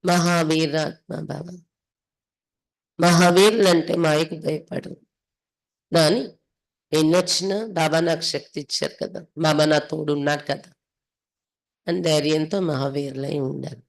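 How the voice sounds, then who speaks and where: A woman's voice: deep voice, steady tone, unhurried, creaky, pauses often, poor audio. Woman giving a devotional sermon. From India. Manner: instructive, with emphatic repetition and rhetorical questions. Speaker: spiritual teacher. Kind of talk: devotional reading with explanatory sermon.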